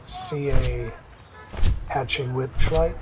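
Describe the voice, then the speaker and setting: An actor playing a mild-mannered, shy technician in an urban fantasy audio drama, speaking in a hesitant, self-deprecating and earnest way. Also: male voice, mid-range voice, steady tone, extremely slow, noisy background. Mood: nervous, hopeful. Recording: music behind the voice. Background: music.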